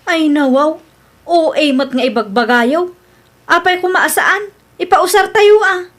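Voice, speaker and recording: A woman with a Filipino Ilocano accent playing an excitable, stubborn expectant young mother in a radio comedy drama, insistent and complaining. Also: very high voice, measured pace. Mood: offended, complaining. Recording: background music under the voice.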